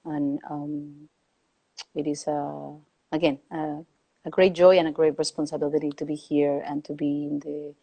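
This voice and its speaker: A woman from Peru, a podcast guest calling in remotely, speaking slowly and thoughtfully with many pauses and hesitations. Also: deep voice, level tone, creaky, mellow, low-quality recording. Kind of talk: reflective conversation.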